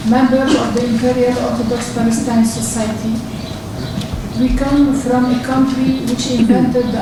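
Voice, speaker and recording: Heavy echo surrounds a woman's fairly high voice as she reads a formal declaration. She sounds far from the microphone in a conference hall. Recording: very echoey, distant, noisy room recording.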